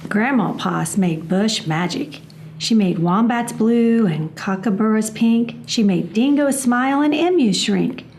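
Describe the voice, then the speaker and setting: A woman reading a children's storybook aloud in a measured, expressive storytelling manner. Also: on the high side, a little hiss or hum.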